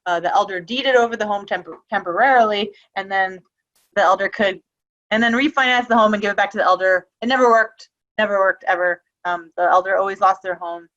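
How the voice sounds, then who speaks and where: A woman's voice: medium pitch, a little fast, fairly bright tone, lo-fi sound. A woman giving a webinar presentation on a video call, talking casually with frequent ums and restarts. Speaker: webinar presenter on elder abuse prevention.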